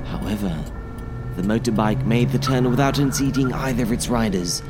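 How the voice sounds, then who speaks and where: Male audiobook reader, expressive and theatrical, very animated delivery, scored with music. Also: fairly low pitch. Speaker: audiobook narrator. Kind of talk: dramatic scripted story narration.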